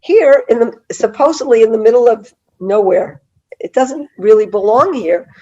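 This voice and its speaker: A middle-aged woman with a cold, her voice hoarse and mellow, leads a Bible study over a video call. She talks in a chatty, meandering way with plenty of fillers and restarts. The call audio sounds slightly dull. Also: fairly high pitch, lively intonation, normal speed. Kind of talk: online Bible class lecture.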